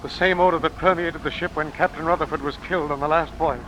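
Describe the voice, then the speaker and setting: An actor playing a polite, uneasy gentleman passenger in a 1930s radio drama style. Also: fairly high pitch, steady tone, fast talker, close to an everyday voice. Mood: uneasy, urgent. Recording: very noisy old radio recording.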